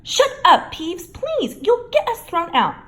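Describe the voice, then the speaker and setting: A woman's voice: very high-pitched, wildly swooping pitch, slow, pitched above the performer's own voice, heavily acted. A woman doing a breathless frightened schoolboy. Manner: panting, hushed.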